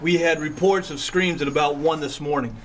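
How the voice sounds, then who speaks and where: An actor playing a jaded, authoritative male city detective in an audio drama, brisk and brusque. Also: on the high side, fairly even intonation, fairly bright tone, slight background noise, natural voice, low-quality recording. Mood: jaded, businesslike.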